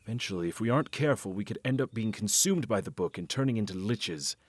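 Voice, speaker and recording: A man acting in a fantasy audio drama as an earnest middle-aged father and guardian, explaining things in a measured way with hesitant pauses. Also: on the low side, lively intonation, no room sound. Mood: solemn.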